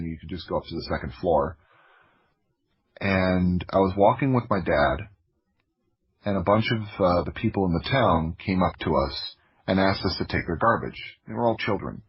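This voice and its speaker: A man's voice: low-pitched, slightly rough, muffled recording. A man from Florida telling personal stories as an interview guest, speaking reflectively and restarting his phrases. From Florida, United States. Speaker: podcast guest, audio drama creator.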